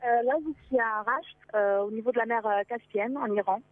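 A woman's voice: a little high, steady tone, brisk, no echo. A woman from Morocco, calling a radio show by phone from inside a parked car and talking hesitantly with many fillers. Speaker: caller, travel blogger. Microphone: mobile phone. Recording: tinny phone line.

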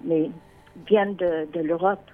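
A woman's voice: fairly low pitch, fairly even intonation, measured pace. A woman from Quebec speaks over a tinny phone line. She chats casually as a caller. She hesitates and restarts her sentences often. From Quebec, Canada. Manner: hesitant, many fillers and restarts.

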